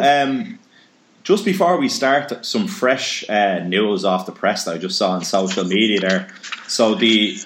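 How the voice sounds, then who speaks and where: A man's voice: deep voice, fairly bright tone. A man hosting a casual podcast interview, chatty and reading news items aloud. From Ireland. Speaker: podcast host. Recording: echoey room.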